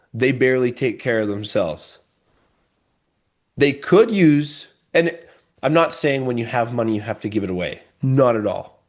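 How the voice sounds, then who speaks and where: A man co-hosting a podcast, talking in an emphatic, expansive way and thinking aloud as he goes. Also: mid-range voice. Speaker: podcast co-host. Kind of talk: casual podcast chat.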